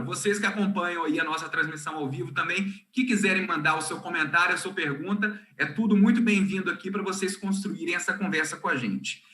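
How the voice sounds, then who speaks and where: A man hosting an online book launch panel, introducing guests and welcoming the audience with a quick presenter's delivery. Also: high voice, pushed and bright. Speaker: host. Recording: roomy video call audio.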